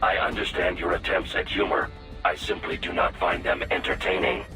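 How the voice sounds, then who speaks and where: An actor voicing a coolly logical artificial companion in a video game, formal, precise and deadpan. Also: male voice, low voice, normal speed, distant mic, music in the background, close to an everyday voice. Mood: formal, detached. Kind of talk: video game radio-call dialogue.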